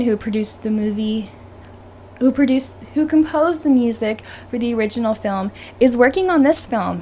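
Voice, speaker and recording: A woman's voice: on the high side, darkish tone. A woman hosting a podcast, chatting casually and giving her opinions in an informal, conversational way. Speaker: podcast host. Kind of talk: casual chat about films. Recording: noisy room ambience behind the voice.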